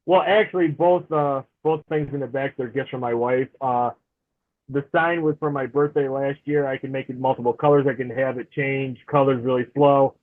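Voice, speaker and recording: A man's voice: medium pitch, fairly even intonation, normal speed. Chatty man in his forties on a tinny line. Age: 40s. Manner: chatty and friendly, laughs easily. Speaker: podcast guest. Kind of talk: casual interview chat. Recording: tinny phone-like remote line.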